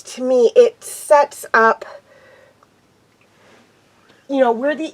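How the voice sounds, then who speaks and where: Young woman, nasal and congested from a cold, slightly high voice, slow halting delivery, casual review chat. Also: poor audio. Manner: hesitant, many pauses and ums. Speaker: show host reviewing TV episodes. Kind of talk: casual unscripted episode review.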